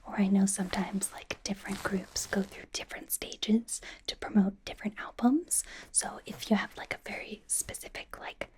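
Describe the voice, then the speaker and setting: A woman playing a bubbly young female K-pop idol as a doting stylist, whispering slowly and reassuringly in an ASMR roleplay. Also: medium pitch, fairly even intonation, a little mellow, natural voice. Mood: encouraging, attentive. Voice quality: breathy whisper. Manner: gushing, reassuring, chatty with trailing repeats. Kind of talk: ASMR roleplay, personal attention.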